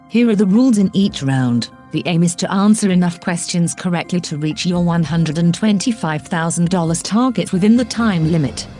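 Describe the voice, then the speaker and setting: A woman voicing a stern female quiz-show host who reads the rules in a crisp, formal way. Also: fairly low pitch, warm tone, close to an everyday voice.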